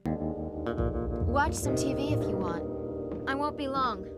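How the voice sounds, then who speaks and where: A woman playing a casual, wistful woman in a video game cutscene, unhurried and musing. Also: sits high, huge pitch swings, loud music behind the voice, heavily acted.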